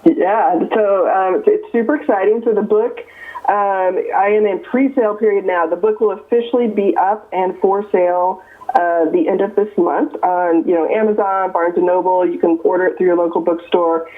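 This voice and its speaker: A woman's voice: medium pitch, fairly even intonation, moderate pace. A Midwestern American woman in her fifties, an author being interviewed, talking earnestly with many ums and restarts. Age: 50s. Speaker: author guest. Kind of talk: casual interview over the phone. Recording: tinny phone line.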